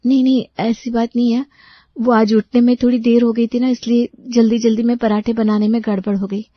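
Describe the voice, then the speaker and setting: Lady doing a flustered young bride cartoon voice, high, stammery and sorry, dry slightly muffled sound. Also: level tone, a little fast, no echo, close to an everyday voice. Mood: apologetic. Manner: hesitant, apologetic, stammering. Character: meek, flustered young newlywed daughter-in-law Prema. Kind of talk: Hindi cartoon moral story voice acting.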